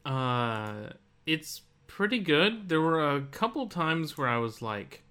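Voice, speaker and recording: A man's voice: on the high side, big pitch swings, slow, bright, forceful tone, clean tone. A man co-hosting a video game podcast, explaining things in a casual, conversational way. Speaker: podcast co-host.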